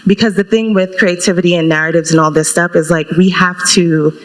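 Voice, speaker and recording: A founder raised in Brooklyn explains their work on a conference panel. The delivery is casual and relaxed, with frequent "like." The pitch sits mid-range. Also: brisk, low-quality recording. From Brooklyn, United States. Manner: casual, relaxed, uses "like" often. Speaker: founder of an organization, panel guest. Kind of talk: panel discussion answers. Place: conference stage.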